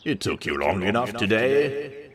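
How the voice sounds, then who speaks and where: A man's voice: fairly low pitch, very animated, a little slow, distant mic, crowd noise, voice pushed up. A man voicing a witty, petulant, flirtatious female pony creature with a lilting, effeminate, theatrical delivery in a comic story. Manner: flirtatious, mock-dramatic.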